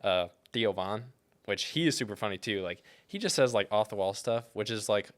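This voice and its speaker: A man's voice: fairly low pitch, lively intonation, moderate pace. A man with an American accent chatting casually as a podcast guest, telling things in a rambling, informal way peppered with "like" and "dude".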